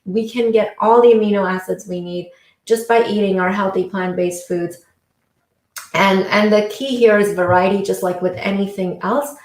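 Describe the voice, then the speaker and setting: A woman's voice: mid-range voice, fairly even intonation, normal speed, darkish tone. A woman from India, a physician guest on a talk show, explaining things in an upbeat way. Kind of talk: interview answers. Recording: echoey room sound.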